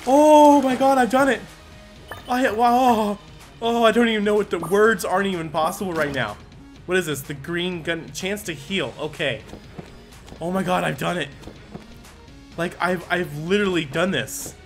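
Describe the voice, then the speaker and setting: A man giving let's-play commentary, thinking aloud and bursting into excited exclamations. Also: sits high, moderate pace. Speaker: gaming commentator. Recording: game music under the voice. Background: game music.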